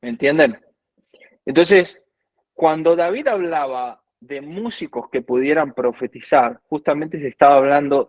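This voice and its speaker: A man's voice: a little high, no room sound, muffled recording. A man from Argentina with an Argentine accent, a guest worship minister teaching earnestly in conversation and quoting scripture at length. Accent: Argentine Spanish.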